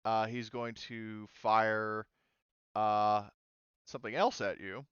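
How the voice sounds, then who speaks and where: A man's voice: lowish voice, flat delivery, takes it slowly, fairly bright tone, clear, resonant tone, no room sound, muffled recording. A man running a tabletop roleplaying game as game master, casual and hesitant, often thinking aloud as he checks the rules.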